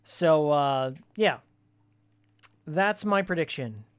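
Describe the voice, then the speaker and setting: Raspy game streamer, casual and opinionated. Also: mid-range voice, lively intonation, slow pace. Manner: opinionated, joking, slangy. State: voice worn from screaming and ranting. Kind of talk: casual rambling gameplay commentary with fan trivia.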